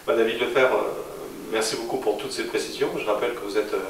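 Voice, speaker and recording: Male interviewer, fast delivery, slightly distant from the mic with faint room noise. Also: mid-range voice, steady tone, echoey room. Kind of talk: interview questions.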